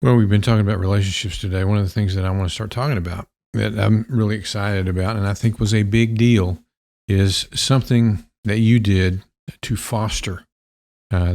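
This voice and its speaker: Podcast host, man, lowish voice, friendly, chatty. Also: a little mellow. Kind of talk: casual interview hosting.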